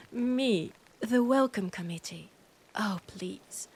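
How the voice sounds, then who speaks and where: A woman with a French accent playing an annoyed, condescending vampire scholar in a roleplay audio drama, often dropping into breathy whispers. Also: medium pitch, extremely slow, heavily acted. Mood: annoyed, sarcastic. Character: annoyed, haughty female vampire scholar, ancient and hungry.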